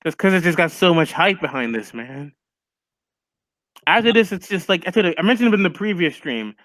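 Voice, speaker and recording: A man's voice: high-pitched, animated, moderate pace, fairly bright tone, no echo. A young man chatting casually and with strong opinions as a stream co-host, full of "like" fillers. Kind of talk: casual chat over a call.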